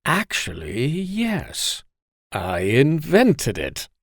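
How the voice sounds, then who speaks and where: A man playing a bold, comical hero of ancient legend who tastes herbs, with a gravelly voice and theatrical delivery. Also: on the high side, huge pitch swings, very slow, no echo, studio quality, exaggerated voice. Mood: proud. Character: Shen Nong, bold, comical ancient herb-tasting hero.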